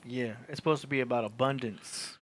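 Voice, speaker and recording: A man co-hosting a podcast, quietly chiming in with short remarks in casual chat. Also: mid-range voice, fairly even intonation, brisk. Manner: quiet, brief interjections. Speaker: podcast co-host.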